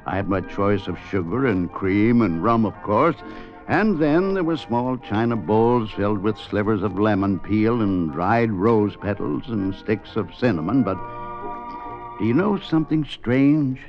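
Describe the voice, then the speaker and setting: An actor playing an urbane, gossipy Hollywood storyteller, narrating a 1950s radio drama. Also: male voice, on the low side, expressive, unhurried, muffled recording, faint music behind. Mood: genial, wry. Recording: band-limited 1950s radio recording.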